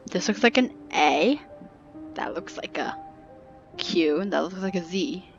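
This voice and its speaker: Female gamer, young adult: lowish gravelly fry, flippant playthrough chat, slightly muffled lo-fi sound. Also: expressive, measured pace. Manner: wry, playful, flippant. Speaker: gamer commentating on her playthrough. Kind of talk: casual joking commentary. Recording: dull lo-fi microphone. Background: game music.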